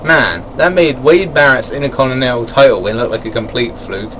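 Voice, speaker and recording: A man talks through a wrestling event in a loose, rambling way, with plenty of ums and restarts and little chuckles at his own side remarks. His voice sits in an ordinary middle pitch. A faint hum of air conditioning runs underneath. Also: fairly quick, fairly bright tone, poor audio. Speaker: wrestling reviewer. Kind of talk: casual spoken event review.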